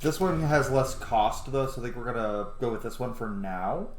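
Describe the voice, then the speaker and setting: A man's voice: mid-range voice, lively intonation, moderate pace, echoey room, slight background noise. A man giving casual, informal commentary on a video game playthrough, thinking aloud as he goes. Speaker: gaming video host. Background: game music.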